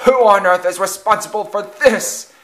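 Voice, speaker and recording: A man voicing a smug, excitable steam engine in a children's railway story, speaking in urgent exclamations. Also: medium pitch, takes it slowly. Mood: indignant.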